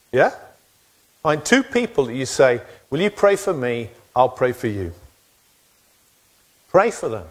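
A middle-aged man preaching on a church stage, his voice rising and falling in a lively way as he makes his points. He sounds animated and conversational, and he is picked up close by a headset mic. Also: on the high side. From England. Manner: animated, gestures widely while pacing the stage. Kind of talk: sermon. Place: church stage with wooden backdrop.

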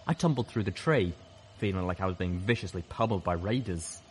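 A man's voice: sits low, brisk, slight background noise. A man reading a scripted adventure story aloud as an audiobook narrator.

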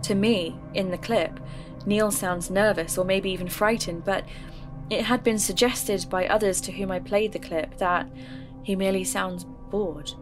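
A woman narrating as a low-voiced podcaster. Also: close to an everyday voice. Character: young female podcaster investigating a mystery. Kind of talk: first-person scripted narration. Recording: music bed under narration.

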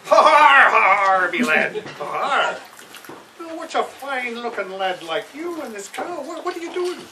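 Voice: mid-range voice, big pitch swings, unhurried. A performer voicing a sly, hearty old pedlar in a children's puppet show, cajoling the audience. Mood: jolly, coaxing. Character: sly old pedlar man with magic beans, jolly and coaxing. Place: outdoor garden puppet booth. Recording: outdoor far camera, noisy background.

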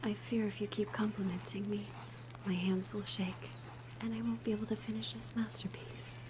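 A woman voicing a gentle, shy young female alchemist in an ASMR roleplay, softly breathy, hesitant and tender. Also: mid-range voice, level tone, moderate pace, noisy background, natural voice. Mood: shy, warm. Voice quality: soft, breathy whisper.

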